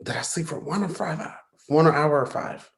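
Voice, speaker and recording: A man's voice: fairly high pitch, normal speed, creaky. A man acting a sleepy, casual character just woken up, in a staged play reading. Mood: groggy, casual. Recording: echoey room sound.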